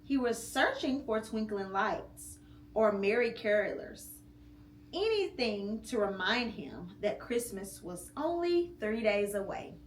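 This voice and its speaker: A mother reading a picture book aloud to her child at home, slow and expressive with pauses between phrases. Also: mid-range voice. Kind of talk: storybook read-aloud. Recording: echoey room with faint hum.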